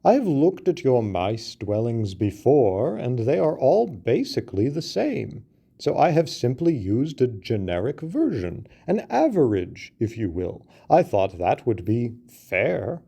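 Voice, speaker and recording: Patient, well-meaning elephant, read by a man, slow delivery with a lively pitch. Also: gravelly, breathy edge, full character voice. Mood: reasoning.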